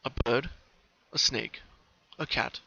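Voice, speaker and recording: A man's voice: mid-range voice, slow, gravelly, pushed and bright. A man reading a story aloud as a dramatic, scripted narration, on a slightly lo-fi home recording.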